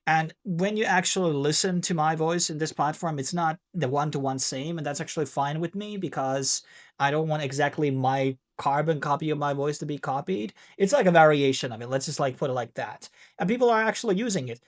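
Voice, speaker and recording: A man's voice: on the high side, brisk. A man with a Finnish accent, speaking English as a second language in a rambling, casual tutorial with many fillers and restarts. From Finland. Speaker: tech video host.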